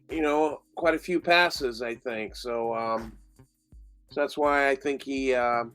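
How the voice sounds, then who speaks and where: A middle-aged man with a nasal, congested voice co-hosting a fantasy football show, talking casually and a little haltingly. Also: a little high, a bit bright. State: congested with a cold. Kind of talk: casual sports rankings talk.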